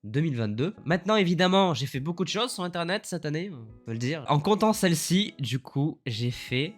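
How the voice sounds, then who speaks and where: A man with a head cold, his voice a bit lower and husky, chatting enthusiastically through a year-in-review vlog. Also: sing-song, fairly quick, soft background music. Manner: chatty, enthusiastic, rambling with fillers. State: congested with a cold. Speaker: YouTuber.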